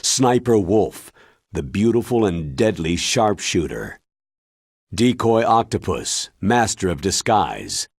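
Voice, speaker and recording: A stern game colonel giving a briefing, deep and husky, deliberate, swings his pitch for emphasis. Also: big pitch swings, no room sound, clean studio sound, exaggerated voice. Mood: grave, dramatic. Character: grave, authoritative older male military commander giving briefings. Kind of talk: video game cutscene acting.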